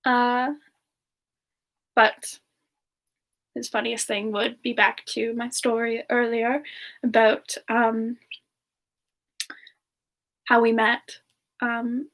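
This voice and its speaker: A woman playing a playful, affectionate and coy female demon siren, speaking softly and hesitantly in a semi-ASMR question-and-answer style. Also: on the high side, exaggerated voice. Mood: fond, amused. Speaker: host answering audience questions in character.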